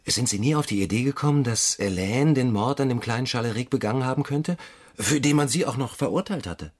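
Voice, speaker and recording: An actor playing a puzzled police inspector who asks probing, hesitant questions in a crime radio drama, with a husky voice. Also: male voice, mid-range voice, fairly quick, a little mellow, lightly acted. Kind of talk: radio drama acting, interrogation.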